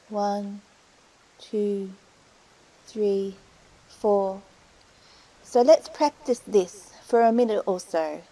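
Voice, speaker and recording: A woman leading a guided meditation, giving soothing, unhurried instructions with pauses between phrases. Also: a little high, mellow, poor audio.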